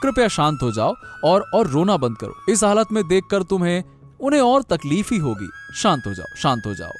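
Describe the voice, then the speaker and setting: A man's voice: sits high, wildly swooping pitch, normal speed, faint music behind. A man voicing a kind, anxious, protective family friend in a cartoon story, with a stammering, soothing manner. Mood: consoling. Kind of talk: Hindi cartoon story voice acting.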